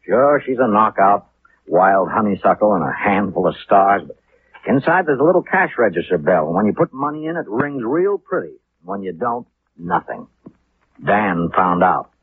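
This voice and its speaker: An actor playing a slick, cynical American city attorney in a 1950s radio drama, speaking in a wry, glib, knowing way. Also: male voice, lowish voice, big pitch swings, normal speed, husky, no echo, heavily acted. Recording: tinny, noisy 1950s radio recording.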